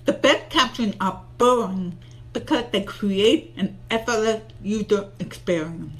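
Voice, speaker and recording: A woman with a deaf accent presenting a short recorded tip video, speaking slowly and deliberately. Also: mid-range voice, low-quality recording. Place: home room with shelves.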